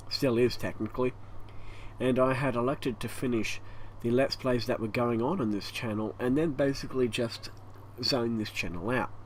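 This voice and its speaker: A man with an Australian accent and a croaky, hoarse voice, rambling through a casual channel update at home, stopping often for breath. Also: medium pitch. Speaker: YouTube gaming channel host. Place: hot home room with a fan running. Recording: faint fan noise behind.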